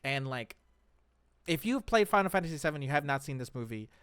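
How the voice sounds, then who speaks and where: A man's voice: a little high, lively intonation, brisk, fairly bright tone, clear, resonant tone, dry recording, clean studio sound. A man co-hosting a casual chat show, talking enthusiastically and emphatically about his favourites. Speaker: podcast co-host.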